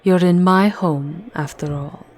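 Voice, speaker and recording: A woman playing a vampire countess speaks slowly in a fairly low voice. She is elegant, seductive and commanding, with measured aristocratic poise, in a fantasy ASMR roleplay. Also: darkish tone. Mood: poised, cutting.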